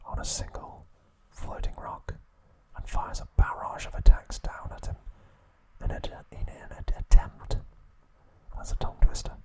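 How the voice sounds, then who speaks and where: A man narrating ASMR in a hushed, deliberate whisper, breaking his sentences into short phrases. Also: low-quality recording. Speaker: ASMR host.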